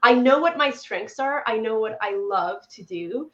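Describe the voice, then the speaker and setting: A woman's voice: a little high, lively intonation, measured pace, sharp tone. Enthusiastic woman in her thirties, echoey call. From Poland. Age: 30s. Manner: enthusiastic, upbeat. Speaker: animator guest. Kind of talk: casual interview chat. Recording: echoey room, dull lo-fi sound.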